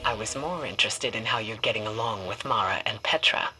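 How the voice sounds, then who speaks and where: A woman playing a composed, watchful mentor and commander in video game radio dialogue, with a brisk, assured delivery. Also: rumbling low, poor audio. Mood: knowing, probing. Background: loud music.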